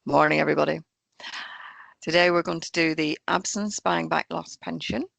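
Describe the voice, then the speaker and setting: A hoarse woman presents an online training webinar for employers. She talks over a video call and explains rules steadily, point by point. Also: low-pitched, fairly even intonation, normal speed, fairly bright tone, dry recording. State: croaky, hoarse voice. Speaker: pension fund trainer.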